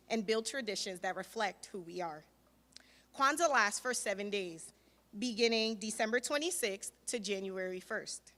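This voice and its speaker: A woman's voice: mid-range voice, sharp tone. A woman from Nigeria, giving an instructive speech and leading a crowd in call-and-response. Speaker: food truck owner. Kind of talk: public teaching speech. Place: outdoor ceremony with public address. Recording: echoey public address sound.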